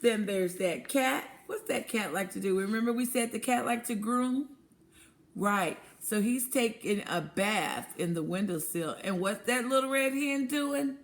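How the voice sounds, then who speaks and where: A woman's voice: on the high side, lively intonation. A woman reading a picture book to young children as a teacher, asking questions, affirming answers and explaining words simply. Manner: asks questions, pauses, then affirms answers. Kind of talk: interactive picture-book read-aloud lesson.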